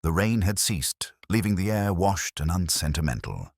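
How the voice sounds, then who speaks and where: A man playing a world-weary police inspector, recounting a case in measured, literary, reflective detective narration. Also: low-pitched, expressive, no room sound, clean studio sound. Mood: somber, watchful. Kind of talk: scripted first-person detective story narration.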